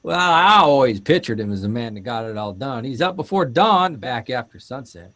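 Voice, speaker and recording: An actor playing an easygoing, curious grown son of farm folk in an audio drama, mild, good-natured and conversational. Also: male voice, mid-range voice, lively intonation, normal speed, fairly bright tone, lightly acted. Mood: thoughtful, amiable.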